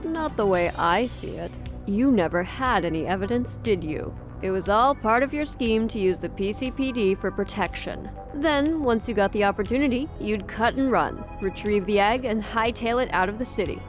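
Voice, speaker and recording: A woman's voice: medium pitch, animated, normal speed, few pauses, over music, natural voice. A woman playing a no-nonsense, sardonic senior police officer in an audio drama. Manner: firm, dry, sardonic.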